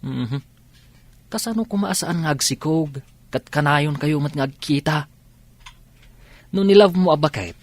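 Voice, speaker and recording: A man's voice: a little high, measured pace, long pauses, no room sound. A man playing a teasing, cautioning young buddy in an Ilocano radio drama.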